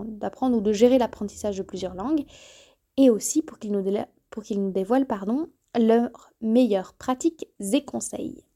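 A woman in her early thirties hosting her podcast, speaking straight to the listener and sometimes correcting herself mid-sentence. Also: fairly high pitch. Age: 30s. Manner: warm, addresses listener directly, self-correcting. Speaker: podcast host. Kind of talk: solo podcast trailer monologue.

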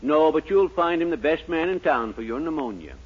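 A man's voice: a little high, expressive, natural voice. An actor playing an amiable, folksy older small-town American man in a 1940s radio comedy. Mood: cheerful warning. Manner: folksy, drawn-out punchlines. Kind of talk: 1940s radio sitcom acting. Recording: muffled, hissy 1940s radio recording.

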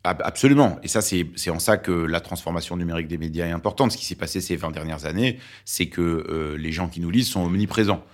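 A man's voice: low-pitched, quick pace, few pauses. A man in his forties from Lebanon, a native Arabic speaker, talking thoughtfully as a journalist guest with many hesitations. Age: 40s. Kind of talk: interview conversation.

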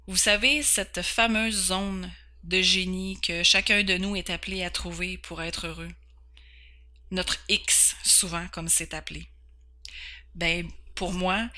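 A woman's voice: on the low side, sharp tone. A woman in her forties from Quebec with a Québécois French accent, hosting a podcast and introducing herself in an earnest, candid way, stumbling over words now and then. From Quebec, Canada. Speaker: podcast host. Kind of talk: personal introduction.

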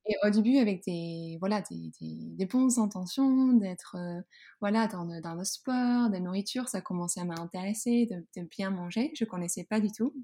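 A Dutch woman speaking French as a non-native, her accent clear throughout. Her tone is warm and mellow, at a middle pitch. She talks haltingly, with frequent fillers and restarts. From Netherlands. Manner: hesitant, many fillers and restarts. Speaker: guest. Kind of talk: podcast interview, personal story.

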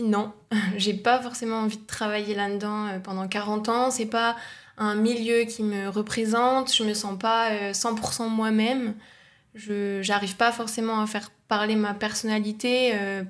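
A young woman in her twenties, a guest in a podcast interview, talking at length about her path with many fillers. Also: medium pitch. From near the Luxembourg border, France. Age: young adult. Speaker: guest, agency co-founder.

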